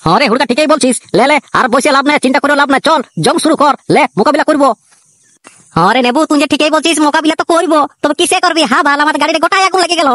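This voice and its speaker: A voice artist voicing two jittery young male soldiers in a comic cartoon, with a Purulia Bengali accent and rapid, chatty banter. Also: sits high, fairly bright tone, no room sound, clean studio sound. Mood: panicky.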